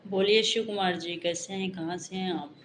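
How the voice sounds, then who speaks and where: A woman from Uttar Pradesh hosting a casual live stream. She greets viewers by name and asks them calmly to like and subscribe. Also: on the low side, moderate pace, slight background noise. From Uttar Pradesh, India. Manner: calm, greets commenters by name and asks for likes.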